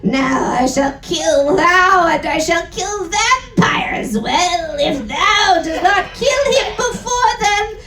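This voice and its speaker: A woman voicing an evil male dark lord with a raspy voice and drawn-out, mock-archaic threats. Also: very high voice, expressive, reverberant, voice pushed up, full character voice. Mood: menacing. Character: evil noseless dark lord villain, male, theatrical and menacing.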